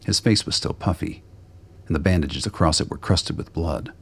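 Extremely deep, gravelly male narrator. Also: normal speed, fairly bright tone. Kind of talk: scripted dramatic narration.

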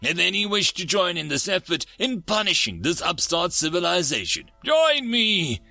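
A man's voice: sits high, sing-song, moderate pace, gravelly, pushed and bright, no room sound, faint music behind, pitched above the performer's own voice, full character voice. A man voicing an aggressive alien ambassador in a sci-fi story, growling and declaiming threats with vengeful temper. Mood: rallying.

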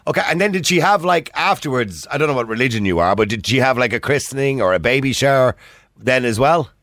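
A man with an Irish accent hosting a phone-in radio talk show, joking with callers and cutting in quickly. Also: medium pitch, lively intonation, fairly bright tone. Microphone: studio microphone.

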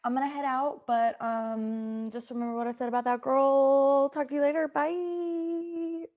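A woman with a creaky voice improvising comedy in casual, chatty banter. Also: high-pitched, fairly even intonation, unhurried, narrow, tinny audio.

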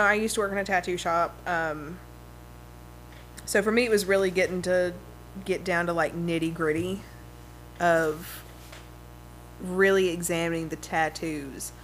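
A woman co-hosting a podcast, chatty, telling stories with many pauses. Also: on the low side, fairly bright tone, a little hiss or hum, low-quality recording. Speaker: podcast co-host. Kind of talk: casual storytelling chat.

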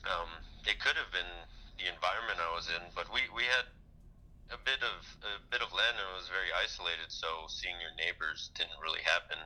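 A man's voice: low-pitched, fairly quick, bright, forceful tone, low-quality recording. A man from Hawaii talking casually as an interview guest, phrasing his answers in a hesitant, thoughtful way. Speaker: podcast guest.

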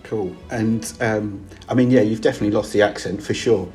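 A man interviewing a guest, reading out questions in a relaxed, somewhat hesitant way and often repeating his words. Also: fairly low pitch, fairly quick, soft background music.

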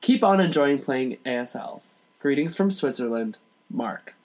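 Guy guesting on a podcast, medium pitch, reads a letter out loud, casual. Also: expressive, unhurried. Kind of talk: casual chat and reading a letter aloud.

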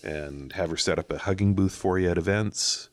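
A man's voice: sits low, normal speed, no room sound. A man co-hosting a casual podcast, teasing the others with dry humor. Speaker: podcast co-host.

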